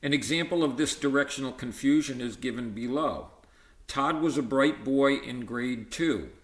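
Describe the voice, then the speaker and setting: A man reads aloud from an educational book in a roomy, echoing space. His voice sits at a medium pitch, and his delivery is measured and explanatory, with the odd stumble and self-correction. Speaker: volunteer-style book reader. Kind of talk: reading a textbook aloud with informal asides. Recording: roomy, echoey sound.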